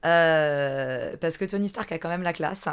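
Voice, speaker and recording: A woman in her thirties from the Vendée in France, a fitness coach chatting as a podcast guest, often pausing with filler sounds. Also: sits low, smooth. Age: 30s. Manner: hesitant, many filler sounds and restarts. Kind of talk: casual interview chat.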